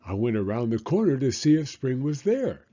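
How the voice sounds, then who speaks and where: A man's voice: mid-range voice, big pitch swings, moderate pace, mellow, dull audio. A man voicing a cheerful, kindly frog telling his friend a childhood memory in an earnest, lilting way. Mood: earnest reminiscing.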